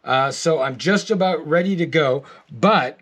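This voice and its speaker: A middle-aged American man giving a casual, rambling video update, halting often and repeating his words. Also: on the high side, fairly bright tone. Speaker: artist and toy maker. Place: art studio, indoors.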